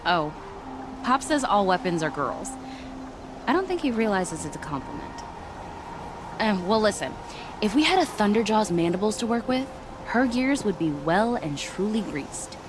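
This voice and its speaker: A woman's voice: medium pitch, big pitch swings, moderate pace, long pauses, background noise, lightly acted. A woman acting an eager young weapon tinkerer in a video game, chatty and stumbling over words.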